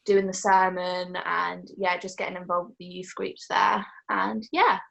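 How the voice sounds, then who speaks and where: An eighteen-year-old woman from England giving a casual, chatty group presentation about her gap year, pausing now and then to search for words. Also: fairly low pitch, steady tone, creaky, fairly bright tone, muffled recording. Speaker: gap-year team member.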